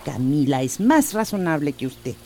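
A woman's voice: sits low, very animated, normal speed, mellow, slight background noise. An actress playing a blunt, exasperated woman who scolds with sarcasm, in a radio soap opera. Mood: scolding.

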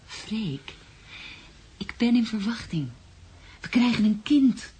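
An actress playing a dreamy, affectionate young woman in love in a radio drama, speaking softly and drowsily. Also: fairly high pitch, lively intonation, takes it slowly, slightly rough, heavily acted. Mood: loving. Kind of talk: Dutch radio drama acting. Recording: noisy radio play recording.

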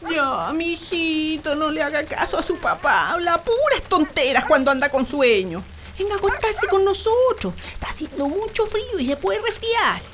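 A woman's voice: high-pitched, sing-song, normal speed, noisy background. An actress playing a bossy, nagging rural wife and doting mother in a comic radio drama, with a Chilean accent. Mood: soothing, motherly. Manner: scolding, exaggerated, colloquial.

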